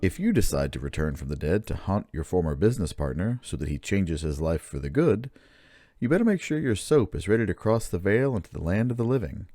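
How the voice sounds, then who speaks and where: A man's voice: low-pitched, sing-song, a little fast, mellow, dry recording. A man announcing a radio play from a script in a showy, theatrical old-time style. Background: music.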